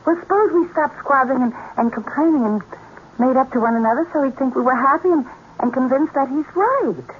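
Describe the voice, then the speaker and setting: A woman's voice: high-pitched, a little fast, lightly acted. A woman acting in a 1950s radio drama as a plaintive, scheming young sweetheart, coy and pouting. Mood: plaintive, coaxing. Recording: tinny, noisy vintage radio recording.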